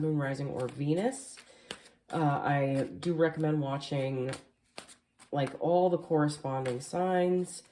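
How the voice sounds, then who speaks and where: A woman reading tarot cards as a casual voice-over, sounding a little nasal and hoarse from allergies, chatty and full of "like" and "um". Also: deep voice, warm tone. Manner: chatty, filler-heavy, frequent "like" and "um". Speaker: tarot reader. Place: home, voice-over behind the camera.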